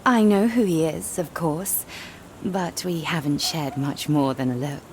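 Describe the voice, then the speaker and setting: An actress playing a polite, gently wry, reserved flower seller in a scripted game. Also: female voice, low-pitched, unhurried, a little hiss or hum, poor audio. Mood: wistful.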